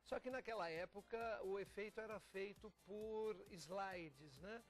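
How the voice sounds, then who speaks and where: A Brazilian man from a theatre company giving an explanatory TV interview, often pausing and repeating words as he goes. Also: high voice. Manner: explanatory, with frequent pauses and repeats. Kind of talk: TV interview talk.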